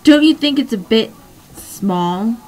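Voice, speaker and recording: A sharp-tongued, disapproving mother who raises her voice to scold, as a hoarse woman reads her aloud. The voice is pitched up and loud, a little strained and rough. It is a storybook reading. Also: animated, slow pace, soft background music.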